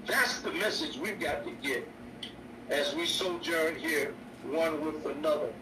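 An older man, a bishop preaching a sermon on his radio show, slow and repetitive with stammered restarts. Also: on the high side. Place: indoor room. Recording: echoey distant mic with noise.

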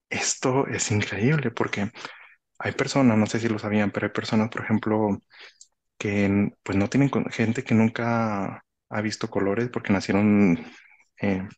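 A man in his thirties with a Mexican accent and a somewhat low voice talks in a casual, explanatory way. He is reflective and halting, often repeating a phrase before carrying on. He speaks into a headset on a video call. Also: a little mellow. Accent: Mexican Spanish. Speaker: author, interview guest. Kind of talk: casual conversational explaining with anecdotes. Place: indoor room, video call.

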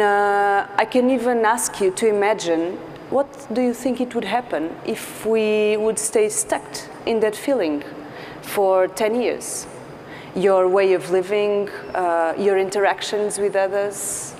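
A woman in her thirties from Portugal with a Portuguese accent, giving an earnest conference talk as a psychologist, pausing often with fillers. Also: medium pitch, a little mellow. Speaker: clinical psychologist speaker. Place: large dark stage. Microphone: lapel mic. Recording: large hall with faint noise.

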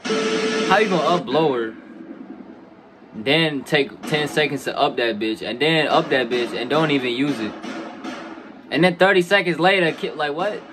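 A man's voice: a little high, expressive, normal speed, pauses often. Young man reacting, noisy recording. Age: young adult. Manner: slangy, frequent swearing, informal address. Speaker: reaction video host. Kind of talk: casual live reaction commentary. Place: small dim room at a gaming chair. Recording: noisy, game audio behind the voice.

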